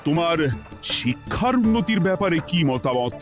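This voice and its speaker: A man plays a trusting cartoon king with a lively, expressive voice, issuing decrees in a commanding tone. Clear music runs underneath. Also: fairly high pitch, moderate pace, close to an everyday voice. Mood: regal. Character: middle-aged male king, trusting, commanding. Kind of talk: cartoon voice acting.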